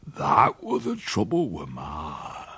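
Guy doing a crusty old country uncle, gravelly and husky, slow drawl, voice swoops all over, dry sound. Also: sits low, wildly swooping pitch, pushed and bright, no echo, full character voice. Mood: reminiscing. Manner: slow, chuckling drawl with dialect grammar. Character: sly old country uncle, boastful rustic yarn-spinner. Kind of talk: storybook reading.

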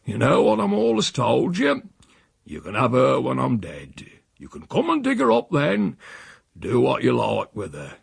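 Husky, bawling old country rogue. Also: male voice, mid-range voice, wildly swooping pitch, unhurried, no echo, voice pushed up, exaggerated voice. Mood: gruff, evasive. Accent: rural English. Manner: goading, blunt, dialect-speaking. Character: bawling, cantankerous, roguish old country uncle.

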